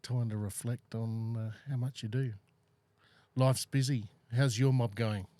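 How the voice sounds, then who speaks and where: A man's voice: mid-range voice, fairly even intonation, measured pace, dark tone, no room sound. A man hosting a casual studio interview, friendly and chatty, poking fun at his own mistakes. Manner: friendly, chatty, self-deprecating. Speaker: podcast host. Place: small podcast studio.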